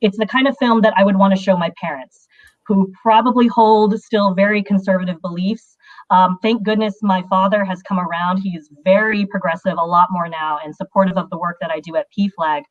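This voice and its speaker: A young woman from the United States speaks earnestly as a panelist, her average-pitched voice dulled by lo-fi audio over a video call. She often fills pauses with "you know" and "um". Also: fairly even intonation.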